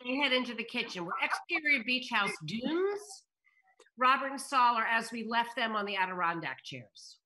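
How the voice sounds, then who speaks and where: Female announcer and narrator, higher bright voice, reading stage directions over video call. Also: lively intonation, moderate pace, poor audio. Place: indoors at home on a video call. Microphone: video call microphone.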